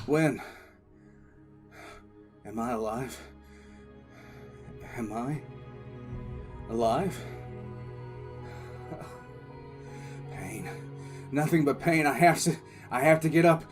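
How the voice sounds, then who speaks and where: An actor playing a frantic, bitter military commissar in an audio drama, telling his story in halting, intense first person. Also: medium pitch, faint music behind, lightly acted. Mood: dazed, pained. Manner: halting, intense, repeats words. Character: male military commissar, wounded, frantic, bitter survivor recounting disaster.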